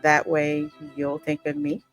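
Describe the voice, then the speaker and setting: A woman's voice: deep voice, completely flat delivery, measured pace. A woman hosting a gaming channel, reading dialogue aloud and reacting in a chatty, playful, teasing way. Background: game music.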